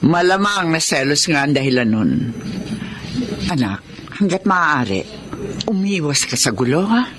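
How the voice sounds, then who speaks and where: An actor playing a cautious, caring middle-aged parent in a radio drama. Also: male voice, a little high, sing-song, unhurried, long pauses. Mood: concerned. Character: cautious, caring parent advising a grown son. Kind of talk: Filipino radio drama acting. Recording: clear background ambience noise.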